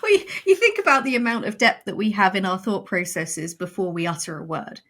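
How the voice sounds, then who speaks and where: A woman's voice: medium pitch, expressive, a little fast, fairly bright tone. A woman who is a guest on a podcast, speaking in a firm, emphatic and articulate way. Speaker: podcast guest, audio drama writer. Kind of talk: casual conversational interview.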